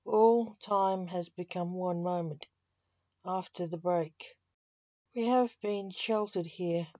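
A woman's voice: fairly low pitch, slow pace, warm tone. A woman voicing a solemn, earnest son who is a seer, in a story. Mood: grave. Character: solemn, frustrated mind-reading son and seer. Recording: roomy echo, slightly dull sound.

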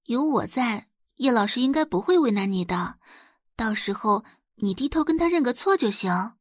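Woman as a sweet, breathy young schoolgirl. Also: on the high side, lively intonation, moderate pace, no echo, studio quality. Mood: friendly, coaxing. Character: sweet, friendly, persuasive young schoolgirl sister.

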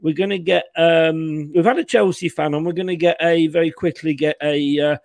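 A man's voice: a little high, fairly even intonation, normal speed, vocal fry, no room sound. A man hosting a football fan live stream, rambling and laughing casually while losing his voice. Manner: laughing, rambling, repeats himself. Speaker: host.

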